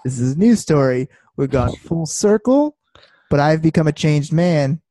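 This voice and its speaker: Mellow, dry-voiced joking podcast guy. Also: a little high, a little slow, no echo. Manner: jokey, excitable, profane. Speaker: podcast co-host. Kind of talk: casual sports chat.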